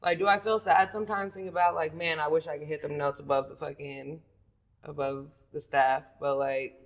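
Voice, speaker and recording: A woman with a deep, raspy, sleepy-sounding voice, talking about singing as a podcast guest in loose, profane casual chat. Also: little pitch movement, a little fast. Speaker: singer guest.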